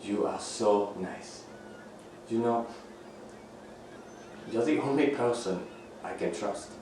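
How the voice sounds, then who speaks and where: An actor playing an affectionate, melancholy housemate in a radio thriller, speaking in a slow, theatrical way. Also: male voice, fairly low pitch, dark tone, a little hiss or hum. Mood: tender, wistful. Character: melancholy, affectionate young male housemate, gently teasing. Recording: roomy echo, music underneath.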